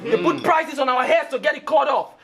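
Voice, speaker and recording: A man's voice: extremely high pitch, a little fast. A man performing battle rap verses in a boastful, taunting way. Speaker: battle rapper.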